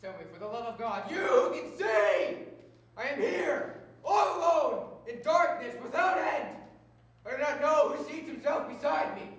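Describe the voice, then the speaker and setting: A man's voice: a little high, animated, a little fast, lightly acted. An actor playing a blind, suspicious old grandfather in a stage drama, anxious and pleading. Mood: anguished. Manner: pleading, insistent, swinging from murmurs to anguished cries. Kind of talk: stage-style drama acting. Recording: echoey hall from far off, noisy.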